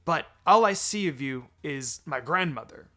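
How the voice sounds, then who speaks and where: A man's voice: a little high, lively intonation, moderate pace, pushed and bright. A man voicing a commanding, forthright female ninja in a story reading, direct and assertive, with music behind. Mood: appraising.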